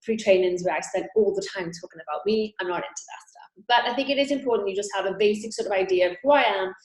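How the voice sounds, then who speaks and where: A woman's voice: medium pitch, fast talker. Upbeat Welsh woman coaching, roomy sound. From Wales. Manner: upbeat, chatty, direct to camera. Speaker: sales coach. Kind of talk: online training talk.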